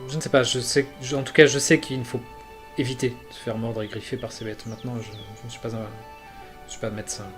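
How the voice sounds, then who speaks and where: A man voicing an earnest male elf envoy from a jungle land, speaking haltingly with many fillers. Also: medium pitch, level tone, soft background music, close to an everyday voice.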